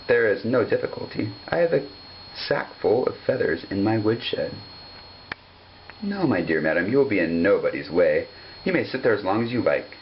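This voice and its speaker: Man voicing a suave, sly gentleman fox, lively pitch. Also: dull audio, a little hiss or hum. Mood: courteous, smug. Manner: polite, formal, coaxing. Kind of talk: storybook character voice.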